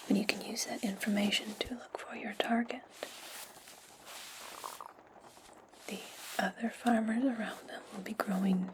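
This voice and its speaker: A woman playing a calm, commanding, sly witch in a whispered ASMR fantasy roleplay, instructing her servant slowly and deliberately. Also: medium pitch, close to an everyday voice. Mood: hushed, instructive. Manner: slow, deliberate, hushed instruction.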